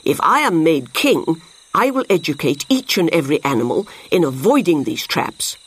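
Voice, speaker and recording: A storyteller voicing a clever, sly male fox in a children's story, speaking in a measured, self-assured and eloquent way. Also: mid-range voice, very animated, husky.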